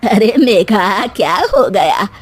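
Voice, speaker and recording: A woman voicing an older, credulous mother-in-law in a family tale, motherly and fretful, with drawn-out phrases. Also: medium pitch, animated, breathy edge. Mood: concerned.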